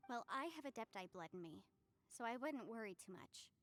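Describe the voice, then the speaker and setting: A courteous young half-immortal advisor in a fantasy game. Her voice sits fairly high, and she is articulate and inquisitive, thinking things through aloud. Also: lively intonation, brisk, fairly bright tone, faint music behind. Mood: polite, attentive. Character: polite, inquisitive young female half-adeptus legal advisor. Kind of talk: scripted fantasy game acting.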